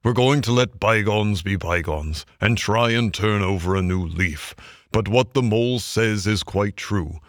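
A man voicing a stern older male badger in a storybook, gruffly kind, with a dry, weighty, commanding manner. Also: lowish voice, measured pace, no echo, lightly acted. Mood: kindly firm. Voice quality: thin, dry. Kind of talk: storybook character voice.

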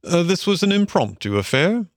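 A man voicing a friendly, easygoing water rat in an animal storybook, genial and gentlemanly in his talk. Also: sits high, very animated, normal speed, no room sound, studio quality, voice pushed up. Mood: hearty. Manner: genial, conversational, old-fashioned English phrasing.

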